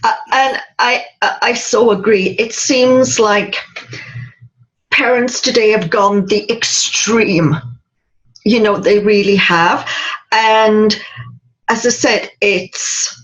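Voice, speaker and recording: A woman's voice: mid-range voice, slow, lo-fi sound. A woman hosting an interview, talking emphatically and telling stories with feeling. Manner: emphatic, animated storytelling. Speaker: podcast host. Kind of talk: casual interview chat.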